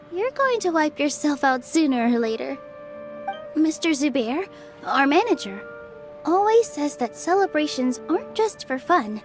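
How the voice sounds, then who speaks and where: A high-pitched young woman speaks over clear music. She is a gentle, cheerful theater dancer from a video game. Her manner is polite, earnest and modest.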